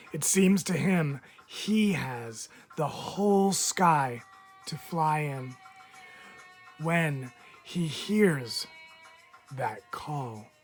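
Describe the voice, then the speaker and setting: A man reading a picture book aloud as a teacher would, expressively, with deliberate dramatic phrasing and frequent pauses. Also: on the high side. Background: background music.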